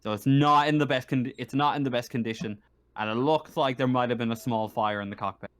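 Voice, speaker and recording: Male game master, mid-pitched, improvising scene descriptions in a halting way with repeated phrases. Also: smooth. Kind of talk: improvised tabletop game narration.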